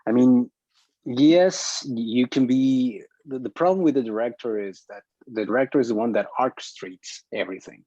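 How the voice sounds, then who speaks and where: A man speaking as a filmmaker in a casual group discussion, opinionated and halting, often repeating his words as he argues a point. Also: mid-range voice, warm tone, dry recording, lo-fi sound.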